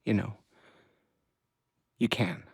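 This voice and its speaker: A man playing an awkward middle-aged dad in an audio play, husky and hesitant, earnest in manner. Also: slow, dry recording, studio quality, natural voice. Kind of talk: naturalistic audio play acting.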